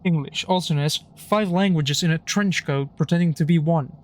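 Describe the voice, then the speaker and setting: Dry-witted young man, fairly high voice. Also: moderate pace. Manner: dry, explains technical points, deadpan puns. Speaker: streamer. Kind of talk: casual chat while gaming.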